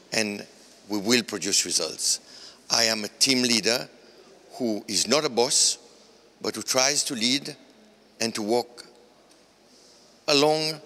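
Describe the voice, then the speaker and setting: Casting: older Seychellois man, low bright forceful voice, emphatic, long room echo. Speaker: interviewee, politician. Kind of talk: news interview. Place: indoor office room.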